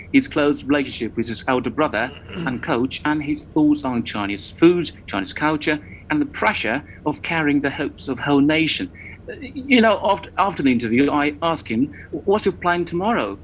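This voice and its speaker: A male reporter chatting casually on a live show, stumbling over and repeating words as he goes. His voice sits at an ordinary middle pitch. A faint noise runs underneath. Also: a bit bright, poor audio. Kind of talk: live news talk show chat.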